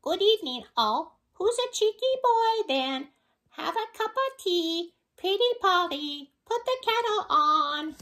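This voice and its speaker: A woman's voice: extremely high pitch, lively intonation, slow pace, a bit bright, pitched above the performer's own voice, exaggerated voice. Woman squawking as a cheeky parrot skeleton. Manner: squawky, sing-song parrot phrases. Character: shrill chattering pet parrot skeleton, cheeky.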